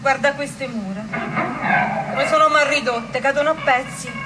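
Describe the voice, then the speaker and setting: A woman's voice: high-pitched, normal speed, noisy background, lightly acted. A woman playing a wide-eyed young defender of a besieged city in a theatrical monologue. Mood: uneasy wonder.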